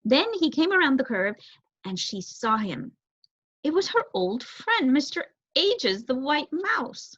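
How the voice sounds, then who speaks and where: A young woman giving an expressive reading of a children's novel as narrator. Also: high-pitched, unhurried. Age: young adult. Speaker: story reader, student. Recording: roomy echo, dull muffled sound.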